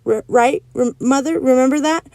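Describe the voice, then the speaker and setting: A blunt, stubborn little girl from a storybook, her voice lifted high and pushy as she lays out her reasoning in kid grammar, put on by a woman reading aloud. The sound is dry and close, with a mellow tone. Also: moderate pace, dry recording. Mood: insistent. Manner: insistent, explaining in childish grammar.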